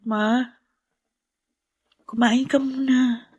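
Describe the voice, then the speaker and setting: A woman's voice: a little high, fairly even intonation, very slow, a little mellow, husky, exaggerated voice. A woman playing a concerned, caring eighteen-year-old daughter in an audio drama. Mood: concerned, sad. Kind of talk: audio drama acting in Tagalog.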